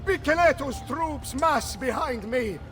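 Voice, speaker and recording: Game villain: power-mad warlord, declamatory and furious, pitched very high over music. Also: male voice, unhurried. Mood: furious threats. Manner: grandiose, domineering, declamatory. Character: arrogant, power-hungry Renaissance warlord villain, raging and defiant. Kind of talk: dramatic video game acting.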